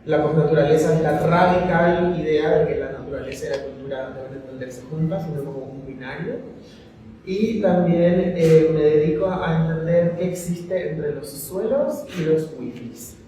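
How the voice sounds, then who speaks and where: A man's voice: a little high, moderate pace, warm tone. Echoey distant man addressing a gallery crowd. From migrant living in Madrid. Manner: rambling, many fillers, asks the audience to join in. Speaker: curator and moderator. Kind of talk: opening a panel talk. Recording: echoey hall, voice from far off, faint noise.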